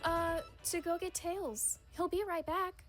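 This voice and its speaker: A woman voicing a determined, caring young heroine in an animated comic dub, earnest and assertive, over music. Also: extremely high pitch, measured pace. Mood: worried. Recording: loud music behind the voice.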